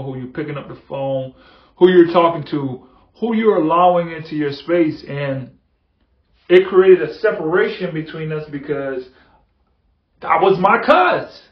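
A man hosting a motivational podcast, talking earnestly and repeating phrases for emphasis. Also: on the high side. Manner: earnest, repetitive, building emphasis. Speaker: podcast host. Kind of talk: motivational monologue. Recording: echoey, dull lo-fi room sound.

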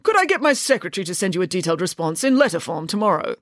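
A stuffy, blustering middle-aged lawyer father, as a woman reads him in a storybook. He sounds pompous and easily flustered, his voice swinging widely in pitch. The recording is close and dry. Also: very animated, very fast, no room sound. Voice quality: blustering, nervous.

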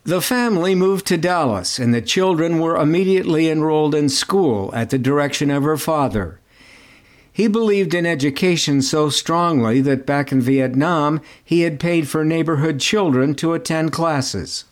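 A man reading scripted documentary narration in a measured, even way. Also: fairly high pitch.

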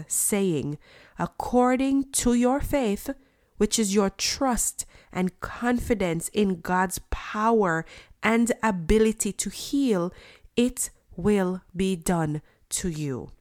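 A woman's voice: medium pitch, darkish tone, dry recording, clean studio sound. A woman hosting a faith podcast, congested from a cold, leading an earnest prayer and reading scripture aloud. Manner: earnest, slow and deliberate. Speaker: podcast host.

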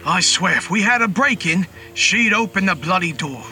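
Man playing a well-spoken heavy-set gentleman, high lively pitch, chatty and friendly, audio story character. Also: moderate pace, soft background music, full character voice. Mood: mock exasperated. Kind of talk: dramatised audio horror story dialogue.

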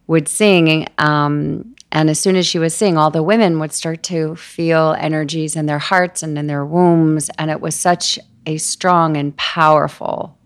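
A woman hosting a podcast interview who asks gentle questions and affirms her guest in a soft way. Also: low voice, clean tone. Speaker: podcast host. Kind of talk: conversational interview.

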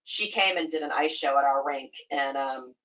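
A woman's voice: a bass voice, normal speed, fairly bright tone, dull audio. A woman guest on a podcast, chatty and easygoing, using lots of fillers and laughing easily. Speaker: guest, skating association staff. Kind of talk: casual podcast interview.

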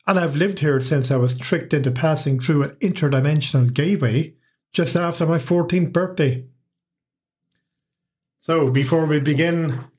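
A man's voice: a little high, darkish tone. Wry Irish man telling a story. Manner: wry and humorous. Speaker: podcast host and narrator. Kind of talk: scripted first-person story narration.

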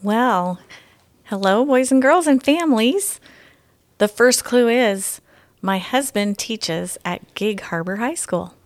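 A woman's voice: fairly high pitch, lively intonation, slow. A woman reading to children as a guest audiobook narrator. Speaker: guest story reader.

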